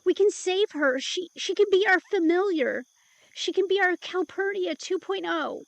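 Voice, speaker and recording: A woman playing a soft-hearted, pleading young vampire in an audio drama, speaking haltingly and emotionally. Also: extremely high pitch, creaky, no echo. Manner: halting, emotional, breaks sentences off. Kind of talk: immersive audio drama acting.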